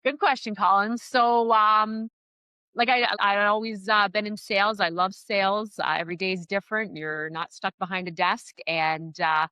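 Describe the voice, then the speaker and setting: A middle-aged woman from Canada with a Canadian accent, an upbeat real estate agent chatting as a podcast guest, often pausing on "uh". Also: mid-range voice, fairly even intonation, pushed and bright, clear, resonant tone, no echo, rough recording. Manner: upbeat, chatty, frequent "uh" fillers. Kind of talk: casual podcast interview.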